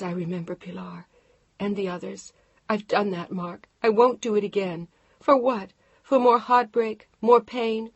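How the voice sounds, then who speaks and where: A woman voicing a distraught, defiant pregnant woman who shouts and pleads. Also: gravelly, slightly rough, no room sound, muffled recording. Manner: shouting, pleading, raised pitch.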